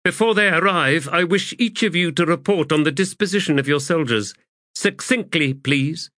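A man's voice: fairly high pitch, lively intonation, moderate pace, fairly bright tone, dry recording, studio quality, voice pushed up. A man voicing a stern female military leader with a clipped, formal manner, in an audiobook.

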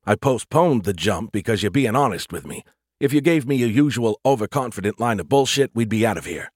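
Blunt male military commander for space audiobook, narrator-acted; urgent orders, sarcastic edge, animated pitch, dry sound. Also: brisk, husky, dry recording. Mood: wary.

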